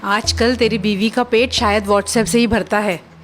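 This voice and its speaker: A woman's voice: medium pitch, fairly even intonation, a little slow, soft background music, close to an everyday voice. A woman voicing an older, nagging but caring mother-in-law in a children's cartoon story, given to grumbling and exclaiming. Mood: annoyed, grumbling.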